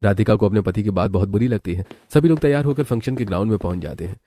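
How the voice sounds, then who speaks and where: A man's voice: fairly low pitch, rapid-fire, mellow. A man reading scripted narration for a story.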